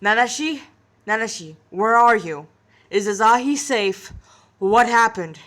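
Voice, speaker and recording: A woman's voice: a little high, measured pace, a bit bright. A woman voicing a protective male boss in an audio story, worried but sternly professional. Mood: urgent, stern. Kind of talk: dramatic amateur audio story.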